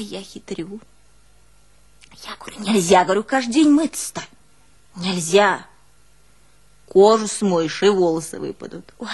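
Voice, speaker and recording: A woman's voice: mid-range voice, husky. An actress playing a garrulous, long-suffering old peasant woman with a rural northern Russian accent, telling her life in a folksy, exclamatory stage monologue. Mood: wry, amused. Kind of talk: theatrical monologue, folksy storytelling.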